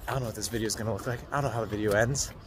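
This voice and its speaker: A young man in his twenties giving upbeat, casual commentary to his viewers while fishing, often talking to the fish and chuckling. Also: lowish voice. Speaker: fishing vlogger. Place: outdoors by a rushing stream. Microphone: action camera, chest or handheld. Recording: outdoor camera mic with loud stream noise. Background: rushing water.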